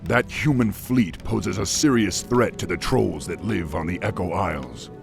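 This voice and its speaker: A man voicing a calm, measured male orc war chief giving orders in a video game cutscene. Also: deep voice, gravelly, music in the background, exaggerated voice. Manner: measured, commanding and reassuring.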